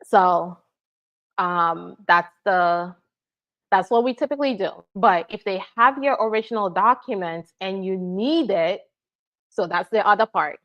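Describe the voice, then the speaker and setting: A woman from Nigeria, working as an immigration attorney, answers viewer questions in a relaxed live Q&A and keeps checking in with "right?" and "all right?". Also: mid-range voice, a little slow, dull audio. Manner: explanatory, frequent "right?" and "all right?" check-ins.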